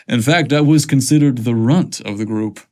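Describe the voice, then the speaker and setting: A man voicing a confident, flirtatious, athletic male boss in an audio story, self-assured and smooth-talking. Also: mid-range voice, measured pace, a little mellow, close to an everyday voice. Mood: proud, amused.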